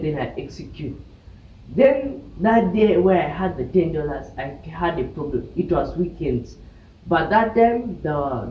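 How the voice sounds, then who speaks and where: A man's voice: fairly high pitch, dark tone. Young South Sudanese man, distant echoey recording. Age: young adult. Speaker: vlogger telling his trading journey. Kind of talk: personal storytelling to camera. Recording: distant mic, roomy echo, background hiss.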